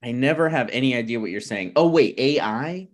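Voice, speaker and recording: Man playing a snarky, animated slacker writer. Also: mid-range voice, fairly quick, lightly acted. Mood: sheepish, dry. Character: snarky broke male writer, stubborn, unapologetic slacker. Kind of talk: table read of a comedy pilot.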